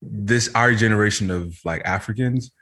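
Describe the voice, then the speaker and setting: A young man with a fairly low voice, hosting a casual podcast. His talk is loose and slangy, and he rambles through fillers and restarts. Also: normal speed, bright, forceful tone. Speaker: podcast host. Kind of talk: casual chat.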